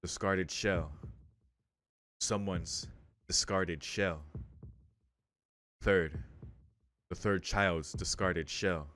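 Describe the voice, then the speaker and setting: A man's voice: deep voice, steady tone, extremely slow, pauses often, fairly bright tone, a little hiss or hum. A man hosting a let's play, reading visual novel text aloud and breaking in with casual, slangy commentary. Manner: interjects with casual slang and swearing.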